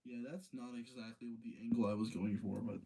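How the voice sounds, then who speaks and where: A man's voice: medium pitch, steady tone, quick pace, mellow, a little hiss or hum. A man hosting a livestream, chatting casually in an apologetic, rambling way. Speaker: livestream host.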